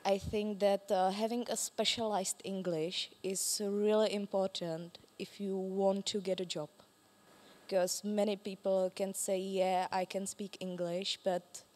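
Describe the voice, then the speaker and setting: A woman in her twenties, a student ambassador, speaking quickly and eagerly on a panel. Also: mid-range voice, darkish tone. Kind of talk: panel talk.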